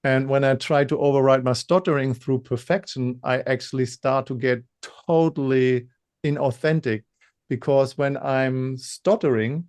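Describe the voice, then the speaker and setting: A man co-hosting a webinar, talking in a halting way with many repeated words; he says he stutters when insecure. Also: medium pitch, fairly even intonation, a little mellow. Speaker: webinar co-host. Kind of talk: casual webinar talk.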